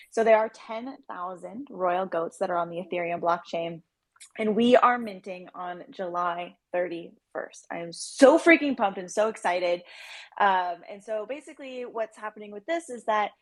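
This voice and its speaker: Female interview guest, mid-pitched, informal and enthusiastic, presenting a project in casual chat. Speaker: podcast guest, project representative.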